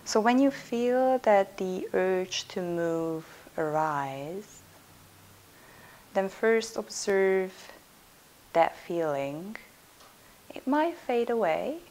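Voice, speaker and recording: A woman leading a yoga nidra guided meditation as instructor, soothing and unhurried, leaving pauses between her instructions. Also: lowish voice, a little mellow.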